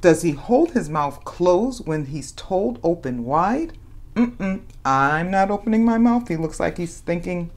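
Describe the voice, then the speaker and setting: Lower-pitched woman reading animatedly to children. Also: measured pace. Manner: animated, dramatizes questions and exclamations for young children. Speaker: read-aloud story host. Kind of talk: expressive children's picture-book read-aloud with asides.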